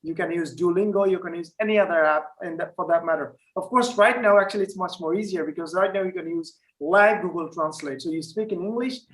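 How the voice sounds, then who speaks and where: Man, from Finland, high voice, panel guest, conversational, many fillers. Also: fairly quick, few pauses, a bit bright. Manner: conversational, with frequent fillers and restarts. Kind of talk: live panel discussion.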